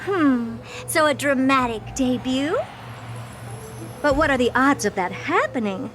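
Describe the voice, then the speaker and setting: A woman's voice: high voice, expressive, a little slow. A woman voicing a haughty genius scientist in a video game, who talks bluntly and dismissively. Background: game music.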